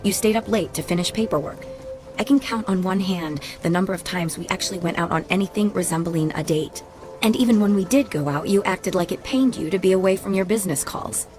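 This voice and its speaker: An actress playing a bitter, guarded ex-wife in a radio drama, speaking in a sardonic, clipped, reproachful way. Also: female voice, on the low side, a little fast, natural voice. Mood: skeptical. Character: bitter, guarded, sarcastic ex-wife, no-nonsense woman. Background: faint background music.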